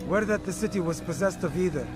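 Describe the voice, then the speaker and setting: An actor playing a grudging, sardonic assassins' informant in a video game, with a dry, cautioning manner. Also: male voice, high voice, fast talker, lightly acted. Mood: wary, scornful. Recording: loud music behind voice.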